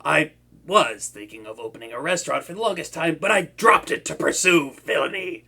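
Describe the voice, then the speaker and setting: A man playing a stammering soft-hearted supervillain. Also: fairly high pitch, animated, a little fast, creaky, slightly rough, exaggerated voice. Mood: wistful. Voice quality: stammering, nervous. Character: shy, soft-hearted young male supervillain. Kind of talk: audio roleplay acting.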